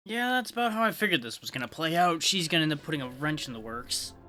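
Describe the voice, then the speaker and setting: A man's voice: a little high, expressive, fast, sharp tone. A man giving casual, wry gameplay commentary as a streamer, in an offhand way. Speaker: game streamer. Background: game music.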